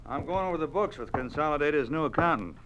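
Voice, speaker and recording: An actor playing a cold, domineering communist party official in a 1950s radio drama, speaking curtly and with authority. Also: male voice, fairly high pitch, expressive, fast talker, a bit bright, lo-fi sound, a little hiss or hum. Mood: businesslike, curt. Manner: curt, authoritative, doctrinaire.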